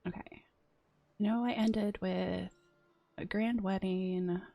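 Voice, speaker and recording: A woman streaming a strategy game and chatting casually, a bit sniffly from a runny nose, often dropping into soft whispered asides. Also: medium pitch, steady tone, slow pace, creaky, no room sound. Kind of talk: casual gameplay commentary.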